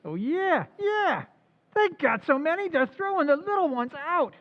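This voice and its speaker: A man playing deadpan waiter Eddie. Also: very high-pitched, normal speed, lo-fi sound, full character voice. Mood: sardonic. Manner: deadpan, sardonic. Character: Eddie, dry sarcastic tavern waiter, man. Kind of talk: old-time radio comedy recreation.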